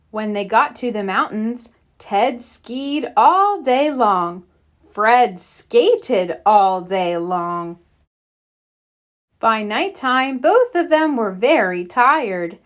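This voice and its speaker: A woman reading a bedtime picture book aloud for young children in a deliberate, expressive storytelling manner. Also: a little high.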